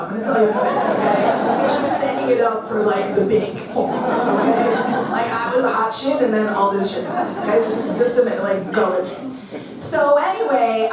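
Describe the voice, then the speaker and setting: Woman in her 40s, from New York, Hebrew-speaking family, salty self-mocking stage storytelling, booming hall echo, distant mic, faint room hum. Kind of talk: comic personal talk before an audience. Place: event venue with audience. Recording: echoey hall, picked up from a distance.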